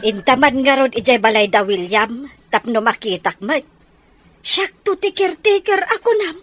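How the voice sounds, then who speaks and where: A woman's voice: on the high side, animated, normal speed, a bit bright, no echo. A woman with an Ilocano accent acting an eager, grumbling village peddler in a radio comedy.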